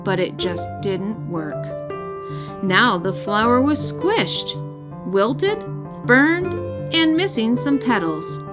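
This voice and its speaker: A woman's voice: fairly high pitch, big pitch swings, takes it slowly. A woman reading a story aloud expressively for young children. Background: music.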